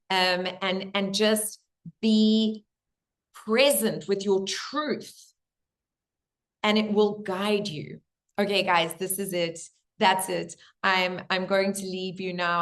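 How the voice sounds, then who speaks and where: A woman with a hoarse voice, talking viewers through spiritual guidance in an earnest, gentle way and calling them guys. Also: lowish voice. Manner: addresses viewers as guys, many fillers. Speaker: spiritual coach.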